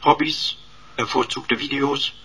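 An actor in a radio drama playing a cheeky computer sidekick, speaking in a pompous, wordy, mock-deferential manner. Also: male voice, mid-range voice, steady tone, a little slow, bright, forceful tone, slight background noise, low-quality recording. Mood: smug, pompous.

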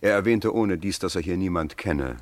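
An actor playing a dignified, courteous government minister, formal and authoritative, in a radio crime drama. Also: male voice, low-pitched, moderate pace, dry recording, lightly acted. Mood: courteous, businesslike.

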